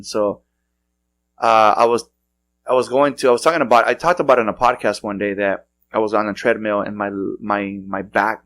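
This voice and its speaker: A man's voice: on the low side, fairly bright tone. A middle-aged man hosting a podcast, chatting loosely and rambling through stories with many restarts. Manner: loose rambling storytelling with frequent restarts and laughs. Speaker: podcast host. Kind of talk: casual chat.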